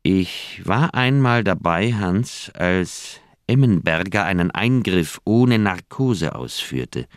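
An actor playing an older, thoughtful doctor, recounting memories in a measured way in a radio play. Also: male voice, deep voice, animated, no echo, studio quality. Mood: troubled, candid. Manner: measured, deliberate storytelling. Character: older male Swiss doctor, thoughtful, candid old friend. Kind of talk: radio play dialogue, reminiscing narration.

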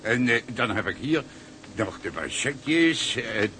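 Jovial ship's cook, radio drama, animated pitch, coaxing, colloquial, faint background noise. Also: male voice, slow pace, full character voice. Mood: salesmanlike, jovial. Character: cheery, wheedling ship's cook, a smooth-talking fixer.

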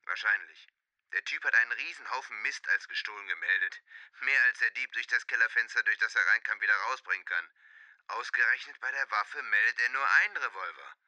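Very sharp, forceful police detective. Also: male voice, medium pitch, brisk. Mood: businesslike. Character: businesslike male police detective reporting a case. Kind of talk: German radio drama dialogue.

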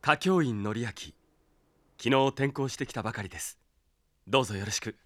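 A medium-pitched young male student, played by an actor, cool and mysterious. He speaks with formal courtesy in audio drama style. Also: fairly quick, fairly bright tone, lightly acted. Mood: cool, courteous. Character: polite, cool, mysterious teenage transfer student.

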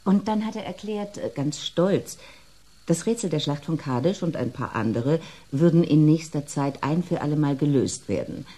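A woman playing a reserved, precise psychotherapist in a radio drama, speaking in a clipped, formal, measured way. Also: low voice, lively intonation, dark tone. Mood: reporting, precise. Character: reserved, precise female psychotherapist with two doctorates.

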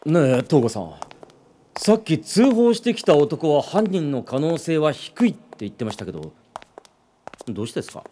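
A man's voice: fairly high pitch, sing-song, normal speed, mellow, a little hiss or hum. An actor playing a polite, hesitant young male detective deferring to a superior in a radio drama. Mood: puzzled.

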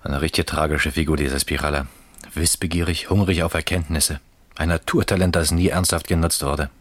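Very deep, thoughtful detective. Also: male voice, fairly even intonation, fairly quick, dry recording. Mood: musing. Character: thoughtful male detective partner on night stakeout. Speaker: police colleague on a stakeout. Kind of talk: German radio crime drama acting.

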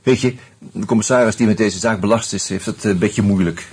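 An actor playing an easygoing amateur sleuth who talks hesitantly and narrates wryly in a Dutch radio drama. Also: male voice, lowish voice, fairly quick, a little mellow, husky. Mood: casual, probing. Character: Hans, easygoing amateur sleuth and wry first-person narrator.

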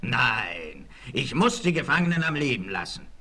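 An actor playing a gloating, villainous bandit leader in a theatrical 1960s audio drama style. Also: male voice, mid-range voice, very animated, moderate pace, bright, forceful tone. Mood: gloating, scheming. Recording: noisy 1968 vinyl record transfer.

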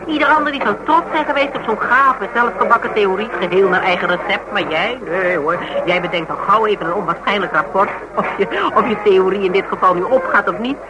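A woman's voice: mid-range voice, fairly quick, hardly any pauses, very noisy, close to an everyday voice. Actress as blunt, teasing Dutch woman. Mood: amused teasing. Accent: Netherlands Dutch. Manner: bantering, blunt, mocking. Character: sharp-witted, teasing woman, confidante of a police detective. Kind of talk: naturalistic radio drama dialogue.

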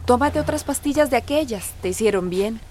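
A woman's voice: fairly high pitch, animated, a little fast, slight background noise, close to an everyday voice. An actress playing a doting, wistful mother in a radio soap, emotional and sighing. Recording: loud music behind.

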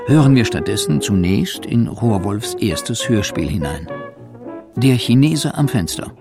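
A man's voice: mid-range voice, vocal fry. A man narrating a scripted radio documentary.

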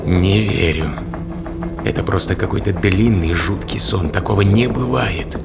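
A man's voice: sits low, a little slow, creaky. A man acting a skeptical, defiant spaceship crewman in a science-fiction audio thriller, speaking in a probing, sardonic way. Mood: disbelieving. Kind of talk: dramatized sci-fi thriller audio play. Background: dramatic music.

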